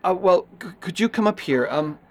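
A man's voice: high-pitched, expressive, moderate pace. A man playing a nervous, flustered public radio announcer in a horror radio drama, stammering and hesitant.

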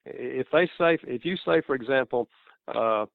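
A man's voice: medium pitch, a little slow, vocal fry, no room sound. A man with a Southern US accent, an author answering interview questions in an earnest, explanatory way, chuckling now and then. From American South. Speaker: author guest. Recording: tinny phone-like line, dry.

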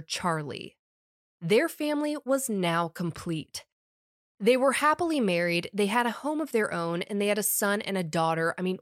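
A woman's voice: lowish voice, no room sound, clean studio sound. A woman podcast host with a summer cold, reading a scripted true crime story in a wry, conversational way.

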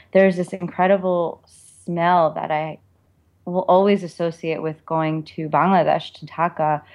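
A woman's voice: deep voice, darkish tone. A woman answering interview questions conversationally, explaining things in a reflective, informal way. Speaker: author and perfumer, interview guest.

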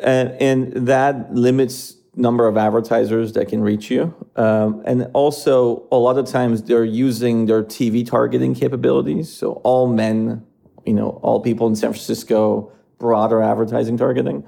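A man in his thirties who grew up in Iran, answering interview questions in a measured way with frequent fillers. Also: medium pitch, flat delivery, vocal fry, mellow. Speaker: streaming company executive.

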